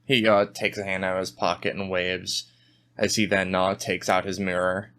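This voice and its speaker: A male player describes what his character does in a tabletop game. His voice is low, with improvised, conversational narration. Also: flat delivery, moderate pace, fairly bright tone. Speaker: tabletop role-play player.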